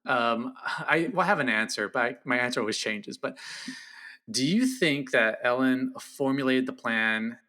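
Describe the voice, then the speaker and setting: Bright-voiced man, thoughtful film talk. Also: mid-range voice, normal speed. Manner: thoughtful, reflective, searching for words. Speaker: guest film critic. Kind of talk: casual film discussion.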